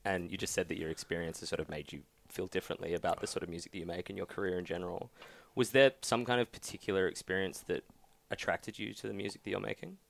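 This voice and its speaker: A man's voice: low-pitched, fairly even intonation, fast, creaky. A man hosting a podcast and asking an interview guest questions in an upbeat way. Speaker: podcast host. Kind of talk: interviewing and reading an ad.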